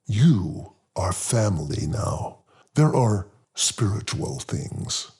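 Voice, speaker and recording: A man voicing a solemn, welcoming elderly man in an audiobook, speaking deliberately in a raspy, gravelly voice. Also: sits low, sing-song, a little mellow, voice pushed down, exaggerated voice. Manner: deliberate, measured. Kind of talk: audiobook character voice.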